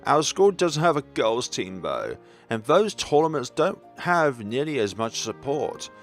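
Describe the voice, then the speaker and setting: Teen martial arts captain played by a man: assertive, caring, expressive intonation, visual novel dialogue. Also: mid-range voice, measured pace. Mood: earnest. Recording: background game music.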